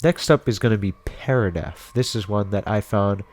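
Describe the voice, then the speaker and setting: Low-pitched man, casual rambling commentary. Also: normal speed, a little mellow, a little hiss or hum. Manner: rambling, informal, conversational. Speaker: video host and reviewer.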